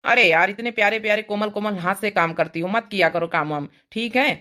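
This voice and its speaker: A woman voicing a flattering, pushy young male schoolteacher in a cartoon comedy, coaxing his lover. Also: mid-range voice, brisk, natural voice. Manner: coaxing, smooth-talking.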